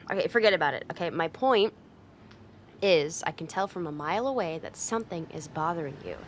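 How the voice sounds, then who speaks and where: A woman's voice: lowish voice, lively intonation, normal speed, a little hiss or hum, close to an everyday voice. A woman playing a tough but secretly caring delinquent girl in an ASMR roleplay, talking bluntly and teasingly to the listener. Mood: gruff concern. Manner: blunt, teasing, streetwise, with hesitant softening.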